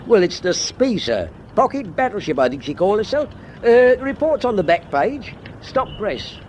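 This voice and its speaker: An actor playing a chatty, deferential working-class Londoner with a London accent in a radio drama, speaking colloquially. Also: male voice, sits high, big pitch swings, moderate pace, darkish tone, slight background noise. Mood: chatty, curious. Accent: London working-class English.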